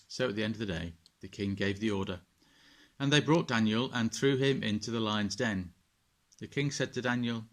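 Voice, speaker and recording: A man, a vicar, reading a children's bedtime story and telling it expressively to his listeners. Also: mid-range voice.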